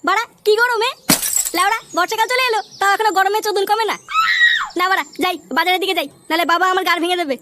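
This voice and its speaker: Performer as lazy young cartoon son, chipmunk-high pitch, very fast, foul-mouthed, grumbling, noisy background. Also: fairly even intonation, sharp tone, raised voice. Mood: irritated. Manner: crude, complaining, swearing constantly. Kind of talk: comedy cartoon voice-over with pitch-shifted voices.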